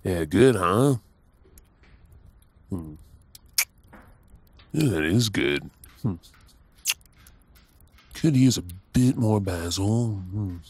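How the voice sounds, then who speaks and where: An affectionate, caring Australian husband, acted by a man in an intimate ASMR roleplay. He talks very slowly and softly, with a lively, moving pitch and a playful, teasing streak. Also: slightly rough, no echo, full character voice.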